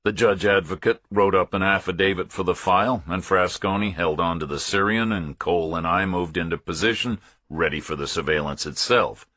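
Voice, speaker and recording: A man narrates a thriller audiobook in a very low, raspy voice. His delivery is measured and matter-of-fact, and the sound is dry and close. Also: pushed and bright, dry recording. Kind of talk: scripted first-person thriller narration.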